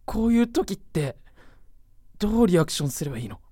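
Gloomy, blunt college boy for audio drama, played by a woman; low register, lively swings, dry close sound. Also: brisk, slightly rough, no room sound. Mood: flustered, exasperated. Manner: slangy, blunt, grumbling, exasperated. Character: gloomy, sarcastic young male college student, easily flustered. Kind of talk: Japanese voice drama with inner monologue.

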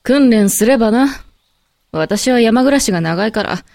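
Woman as stoic werewolf woman, terse, unflappable, mannish wording, slightly high voice, dry sound, audio drama. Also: lively intonation, normal speed, gravelly, darkish tone, dry recording. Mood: matter-of-fact. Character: stoic pregnant mountain-dwelling female werewolf. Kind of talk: Japanese audio drama acting.

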